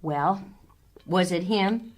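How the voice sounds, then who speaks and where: An actress playing an exasperated, sceptical wife who chides and mocks sarcastically in a naturalistic radio drama. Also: female voice, lowish voice, extremely slow. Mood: exasperated, sarcastic. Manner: sarcastic, chiding.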